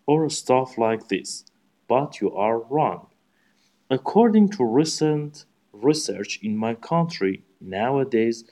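A man from Iran with an Iranian accent, speaking English as a second language and giving a prepared speech in formal, deliberate phrasing. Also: mid-range voice, a little mellow. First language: Persian. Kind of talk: practice speech, memorized.

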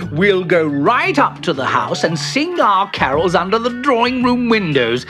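A storyteller voicing a bossy, excitable young male animal in an eager, exclamatory manner, for a children's story. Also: medium pitch, pitched above the performer's own voice.

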